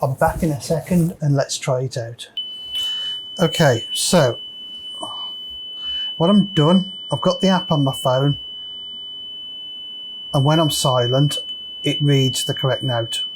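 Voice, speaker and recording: A man's voice: on the high side, slow pace, pauses often, background noise. A man hosting a casual, unscripted repair walkthrough, thinking aloud hesitantly as he works. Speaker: host of a repair video.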